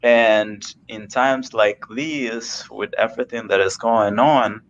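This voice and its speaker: A man from Kenya, a guest on a live video call, talking slowly and earnestly about spiritual ideas. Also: lowish voice, fairly even intonation, muffled recording, a little hiss or hum. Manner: slow, searching and earnest. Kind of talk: reflective spiritual conversation.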